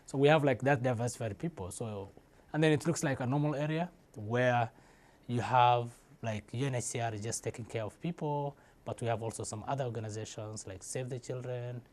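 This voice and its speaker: A man's voice: medium pitch, fairly quick, darkish tone. A young man from Somalia telling his life story in a hesitant, unscripted way, with many fillers and restarts. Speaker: interviewee. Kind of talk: casual personal account.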